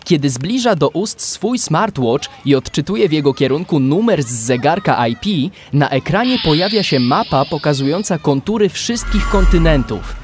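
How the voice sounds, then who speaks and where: Higher-pitched male drama narrator. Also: lively intonation, moderate pace, hardly any pauses, soft background music. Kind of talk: scripted radio drama narration.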